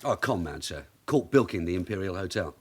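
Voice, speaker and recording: Shrewd veteran London detective sergeant, radio drama; dry, brisk, unfussy, with animated swings of pitch. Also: male voice, husky. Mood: dry, casual. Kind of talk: naturalistic British radio drama acting.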